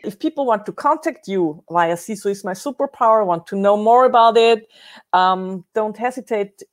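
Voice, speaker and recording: A woman's voice: medium pitch, moderate pace. Chatty, effusive woman interviewer. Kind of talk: casual conversational interview.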